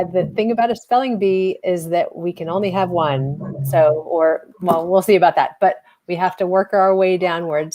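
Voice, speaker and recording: Lowish-voiced woman hosting a spelling bee on a video call, chatty, laughs a lot, wanders off topic. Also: dark tone. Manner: chatty, laughs often, rambling asides. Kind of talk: casual hosting chat over a video call.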